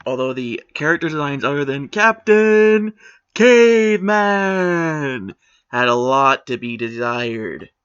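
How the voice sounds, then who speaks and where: A man's voice: on the high side, lively intonation, takes it slowly, a bit bright, clear, resonant tone, highs cut off. A man giving opinionated commentary on a written post, critical and matter-of-fact with sarcastic asides.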